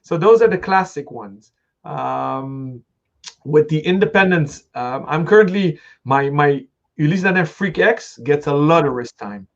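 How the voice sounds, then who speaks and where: Male host, middle-aged, fairly high voice, halting and conversational, slightly dull audio. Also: expressive, gravelly. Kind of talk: conversational live interview.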